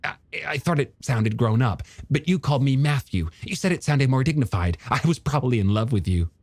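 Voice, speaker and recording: A man's voice: mid-range voice, expressive, fairly quick, a little mellow, dry recording, voice pushed up, close to an everyday voice. A man voicing an enthusiastic, friendly young male scientist in an audiobook, chatty and given to stammering. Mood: teasing, friendly. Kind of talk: audiobook character dialogue.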